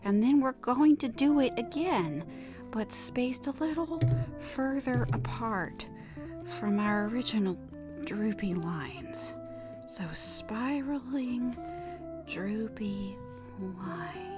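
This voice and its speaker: Woman acting a slow, awkward radio drawing teacher over music. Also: a little high, lively intonation. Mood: halting, patient. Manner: halting, deliberate, hesitant instructor delivery. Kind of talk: scripted horror-comedy podcast monologue, mock drawing lesson. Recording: music behind the voice.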